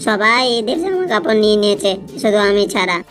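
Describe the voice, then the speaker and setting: A woman's voice: medium pitch, very animated, quick pace, lightly acted. Woman acting a sulky schoolboy, amateur cartoon voice, complaining manner, faint music behind.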